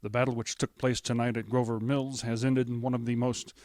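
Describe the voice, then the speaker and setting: An actor playing a male studio announcer in a 1930s radio drama, grave and measured with small stumbles. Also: mid-range voice, flat delivery, a little mellow, dry recording, lightly acted.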